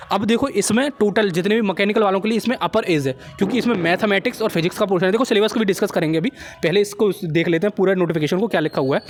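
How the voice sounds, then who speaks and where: A young man with an Indian accent hosting an informal explainer, talking to viewers like friends and often checking that they follow. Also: high-pitched. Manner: chummy, addresses viewers as friends, repeats check phrases. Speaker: job-update video host. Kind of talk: informal explainer of a recruitment notice.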